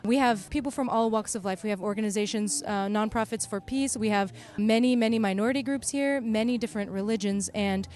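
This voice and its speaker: A woman's voice: mid-range voice, fairly quick, hardly any pauses, darkish tone. Articulate woman speaking for a cause. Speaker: vigil organizer and spokesperson. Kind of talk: earnest spoken interview statement.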